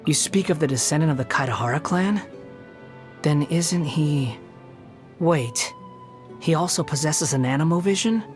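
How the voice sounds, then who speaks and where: A man's voice: fairly high pitch, normal speed, long pauses, soft background music, natural voice. Man acting an arrogant, sardonic young wanderer. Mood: scornful. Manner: condescending, sardonic, taunting. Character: arrogant, bitter, scornful young male wanderer, not human. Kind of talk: video game character voice lines.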